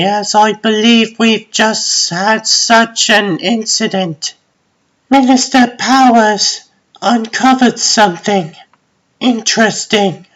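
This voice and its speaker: Woman acting a slow-spoken, composed young scientist. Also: on the high side, low-quality recording. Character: young woman scientist, composed, clever, formal daughter of ruler. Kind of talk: comic dub voice acting.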